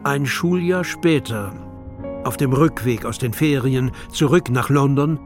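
A man narrating a children's audio drama in a measured storybook manner. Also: medium pitch, soft background music.

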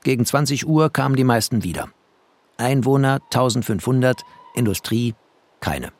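An actor playing a wry, tender, bookish refugee who narrates a radio drama in terse poetic phrases. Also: male voice, medium pitch, expressive, normal speed, close to an everyday voice. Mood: wry, sombre.

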